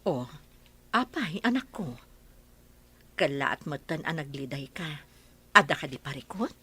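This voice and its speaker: A woman voicing a worried mother raising her daughter on her own, with a husky voice. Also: low-pitched, sing-song, a little slow, sharp tone, full character voice. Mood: gentle.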